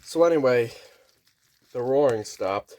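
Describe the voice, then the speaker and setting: A man's voice: mid-range voice, animated, a little slow, a little mellow. A man with a nasal voice talking in a rambling, casual vlog monologue at home, restarting often and swearing casually. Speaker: vlogger. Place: home kitchen near a microwave.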